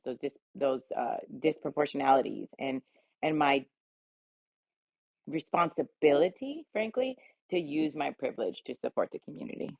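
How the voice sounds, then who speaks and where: An assertive American woman tells personal stories in a candid, emphatic style. Her voice is husky with plenty of fry. It comes through thin, phone-like audio. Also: extremely low pitch. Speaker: round table guest, cannabis advocate. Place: remote audio chatroom call. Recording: roomy, tinny phone-like audio.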